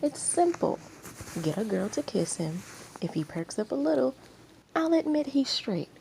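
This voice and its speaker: Smug mischief-maker for a story reading, voiced by a woman: raised pitch, taunting, slightly noisy recording. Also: big pitch swings, normal speed, vocal fry, warm tone, full character voice. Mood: smug, goading. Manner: taunting, smirking delivery.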